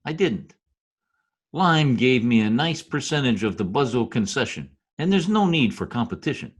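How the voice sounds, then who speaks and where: Radio drama schemer male actor: glib, cynical politico, rough husky voice, lively intonation. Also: medium pitch, normal speed. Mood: brisk, glib. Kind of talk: old-time radio drama acting. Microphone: video call.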